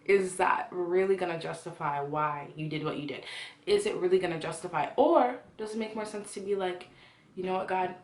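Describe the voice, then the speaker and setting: A woman's voice: fairly low pitch, normal speed. A young woman from Ghana giving a casual, earnest devotional talk to viewers in a conversational way. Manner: earnest, conversational, poses questions to listeners. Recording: echoey room.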